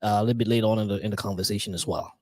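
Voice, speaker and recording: A man's voice: on the low side, fairly even intonation, very fast, no echo, clean studio sound. A man hosting a live podcast, talking with frequent restarts and stammers. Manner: many restarts and fillers, stammers. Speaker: podcast host.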